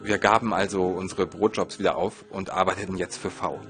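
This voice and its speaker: A man with a lowish voice narrates a scripted personal story. He moves along at a quick pace, with music clearly playing behind him. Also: fairly even intonation, a bit bright.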